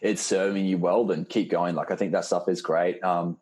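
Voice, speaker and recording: A man with a deep, mellow voice coaches a group over a casual online call. He sounds informal and reassuring, encouraging the people he talks to. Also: flat delivery, moderate pace, slightly rough. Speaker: host and fitness program coach. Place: live online video call.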